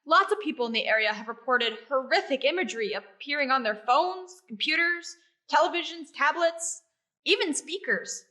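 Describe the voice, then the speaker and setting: A woman's voice: high voice, lively intonation, normal speed, pushed and bright. A woman playing a studious, earnest and composed young male researcher in an audio drama. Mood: earnest, explaining.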